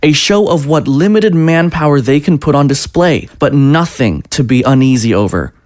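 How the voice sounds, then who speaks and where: An actor playing a smooth-talking, placating presidential adviser in an audio drama, formal and wordy. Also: male voice, a little high, animated, moderate pace, darkish tone, dry recording, studio quality, close to an everyday voice. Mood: courtly.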